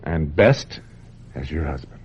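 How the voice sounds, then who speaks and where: A domineering film director in a radio drama, with a low voice. His delivery swings widely in pitch, theatrical and condescending, leaning on key words to manipulate. The recording is somewhat dull. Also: lively intonation, slightly rough, heavily acted. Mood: coaxing, controlling. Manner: theatrical, insistent, condescending, with deliberate emphatic phrasing.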